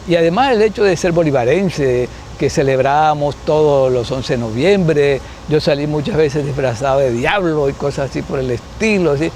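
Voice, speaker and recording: An older man in his seventies from Colombia, a guest in a recorded interview. His voice sits a little high for a man, and he speaks unhurriedly, pausing and restarting as he thinks. A faint hum of background noise runs under the recording. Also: darkish tone. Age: 70s. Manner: unhurried, thinking aloud with restarts and tag questions. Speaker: guest sociologist. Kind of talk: reminiscing interview answers.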